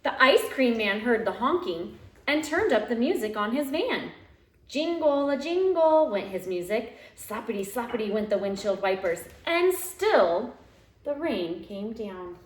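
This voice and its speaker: Female storybook reader for young kids, very lively intonation, expressive delivery, roomy home sound. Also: fairly high pitch, slight background noise. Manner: expressive, dramatic drawn-out phrasing. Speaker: teacher reading aloud to young pupils. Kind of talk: animated children's storybook read-aloud. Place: living room at home. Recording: roomy, echoey home recording.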